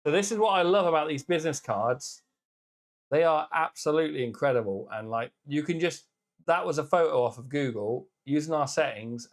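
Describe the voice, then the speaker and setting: Upbeat British man with a loud voice. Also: fairly high pitch. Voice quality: naturally loud voice. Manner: chatty, upbeat, greets viewers by name. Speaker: live stream host. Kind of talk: casual live stream demo and Q&A. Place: workshop with laser engravers.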